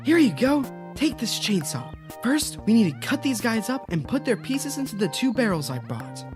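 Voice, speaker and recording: A woman's voice: on the high side, big pitch swings, normal speed, music in the background. A woman playing a cheerful, bossy children's-show host in an audio drama, giving instructions in an upbeat, reassuring way. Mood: brisk, upbeat. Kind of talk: audio drama, parody of educational kids' show.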